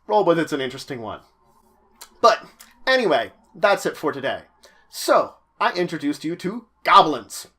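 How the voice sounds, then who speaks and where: A man with a fairly high-pitched voice hosts an informal roleplaying guide. He explains things to viewers in a chatty way, leaning on key words for emphasis. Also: sing-song, normal speed, pushed and bright, husky. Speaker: host of a tabletop roleplaying guide series.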